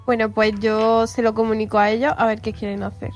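A woman's voice: a little high, level tone, a little fast, faint music behind. A woman playing a tabletop game, casual and easygoing, often asking questions. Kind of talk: casual chat.

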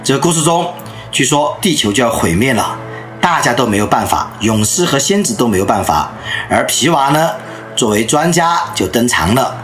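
A man in his thirties or forties giving a recorded lecture, telling stories in a lively way. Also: medium pitch, normal speed, soft background music. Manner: lively storyteller who acts out anecdotes.

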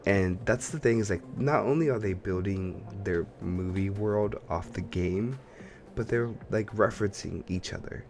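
A man's voice: on the low side, moderate pace, a little mellow, a little hiss or hum. A man co-hosting a podcast, chatting casually about games and films in a rambling, think-aloud way. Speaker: podcast co-host.

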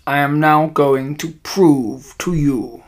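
A man's voice: a little high, steady tone. Man putting on an imperious, malevolent witch. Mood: gloating, commanding. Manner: slow, emphatic, broken grammar. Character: imperious, malevolent female Grand High Witch plotting against children.

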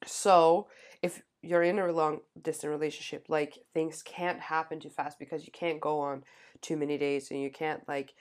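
Young woman on a podcast, kinda low voice, rambles fast w/ lots of "like". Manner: fast, animated, many "like" fillers. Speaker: podcast co-host. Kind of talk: casual chat.

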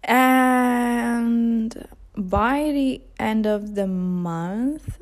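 A woman's voice: a little high, drawn-out words. A young woman, hosting a casual unscripted podcast from her couch, chatty and self-deprecating, recorded without a proper mic. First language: Italian. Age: early 20s. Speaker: podcast host. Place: at home on a couch.

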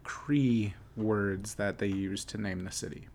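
Guy with a gravelly fry voice teasing his cohost on a podcast, lil hiss. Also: lowish voice, steady tone, takes it slowly, darkish tone. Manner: teasing, stammers restarts. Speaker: podcast co-host. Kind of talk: casual chat.

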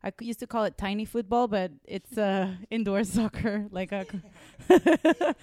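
A woman's voice: mid-range voice, normal speed, mellow. A woman from Venezuela, a native Spanish speaker, telling stories casually with a giggly, self-mocking, sweary manner. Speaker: podcast guest, comedian. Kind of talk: casual conversational storytelling.

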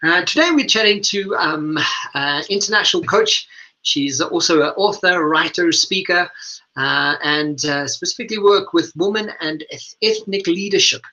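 A man hosting a radio interview, speaking in a halting, hesitant way over a dull, lo-fi recording. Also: sits high, pushed and bright. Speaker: radio show host and leadership coach.

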